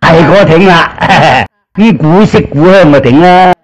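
Older cantonese guy, kinda high voice, chatting away about the old days in an interview. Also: measured pace, rough recording. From Guangzhou, China. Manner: chatty, storytelling. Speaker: interviewee, veteran actor. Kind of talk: casual reminiscing in an interview.

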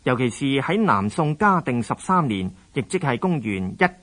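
A male narrator reads a scripted historical account. He has a Hong Kong Cantonese accent and a voice of medium pitch. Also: sing-song, moderate pace, fairly bright tone.

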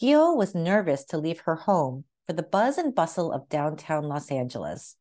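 Female read-aloud narrator for picture books: lowish pitch, very lively intonation, careful storytelling phrasing. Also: normal speed.

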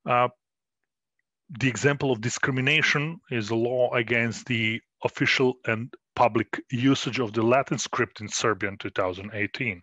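A middle-aged man presenting his research in a recorded conference talk, measured and full of fillers and restarts. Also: medium pitch, fairly even intonation, pushed and bright, no room sound, dull audio. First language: Croatian. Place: small room indoors.

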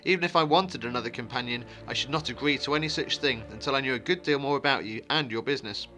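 A man voicing a suspicious, indignant, well-spoken hobbit traveller with a sharp, guarded manner, as in a fantasy storybook. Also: medium pitch, fast, faint music behind, close to an everyday voice.

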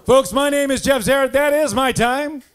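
High-pitched middle-aged man hosting comedy. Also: normal speed, noisy background. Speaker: comedian and show host. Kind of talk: stand-up comedy and stage announcing. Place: small dark comedy stage indoors. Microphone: handheld stage microphone.

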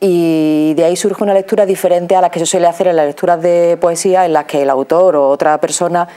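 A woman's voice: lowish voice, steady tone, quick pace, warm tone. A woman in her forties with an accent from Spain, explaining conversationally as a studio interview guest on a lapel mic. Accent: Spanish from Spain. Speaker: interview guest, radio theatre performer. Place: small TV studio.

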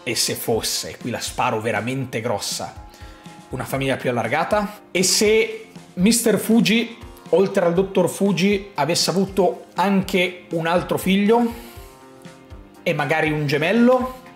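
A man's voice: fairly high pitch, a little slow. A man, explaining a theory to camera in an emphatic, suspenseful way, repeating phrases for effect. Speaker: YouTube host. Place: small home room, indoors. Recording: roomy echo. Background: background music.

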